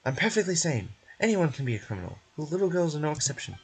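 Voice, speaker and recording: A man's voice: fairly high pitch, big pitch swings, quick pace, breathy edge, exaggerated voice, rough recording. A man voicing a cold, rigid prison officer in an amateur game dub, formal and menacing.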